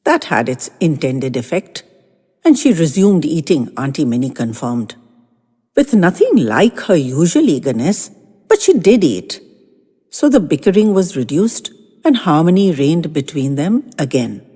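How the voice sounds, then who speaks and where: Slow, husky woman storyteller. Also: deep voice, big pitch swings, mellow, in a large hall. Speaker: narrator. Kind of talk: first-person storytelling.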